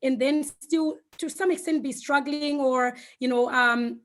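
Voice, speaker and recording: A woman, a Shona speaker, giving an informal online presentation in a reflective, hesitant way, often pausing mid-thought. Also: sits high, steady tone. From Zimbabwe. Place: online video call.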